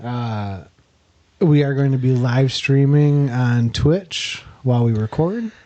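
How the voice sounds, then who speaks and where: Host: mellow, warm-toned man, halting delivery with frequent uhs. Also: medium pitch. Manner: hesitant, many uhs and restarts. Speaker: podcast host. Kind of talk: casual chat, running the intro.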